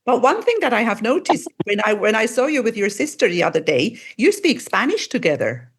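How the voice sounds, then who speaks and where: A woman's voice: a little high, sing-song, brisk, breathy edge. A woman in her sixties hosting a podcast interview, speaking effusively. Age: 60s. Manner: enthusiastic, effusive. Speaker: podcast host.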